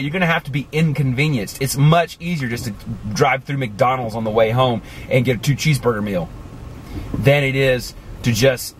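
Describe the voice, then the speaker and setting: A man in his forties talking casually to the camera from inside a car, animated and chatty, with many asides. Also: mid-range voice, a little hiss or hum. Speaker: vlogger. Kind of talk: casual motivational talk to camera. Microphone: camera on the dashboard.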